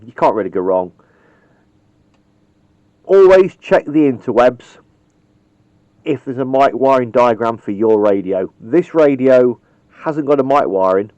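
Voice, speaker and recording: A man's voice: medium pitch, darkish tone, dry recording. A man casually demonstrating how to wire a radio microphone, rambling and chatty, with frequent ums and the odd mild swear word. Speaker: radio hobbyist demonstrating wiring. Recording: dull, lo-fi home video sound.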